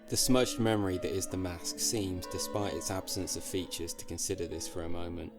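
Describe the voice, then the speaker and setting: Low-voiced man narrating over music. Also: steady tone, a little fast. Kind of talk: first-person scripted storytelling.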